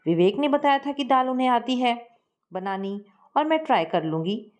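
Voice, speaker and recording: A woman's voice: a little high, expressive, moderate pace, close to an everyday voice. A woman voicing a cheerful, frank young daughter-in-law in a family story, speaking in a chirpy, plain-spoken way.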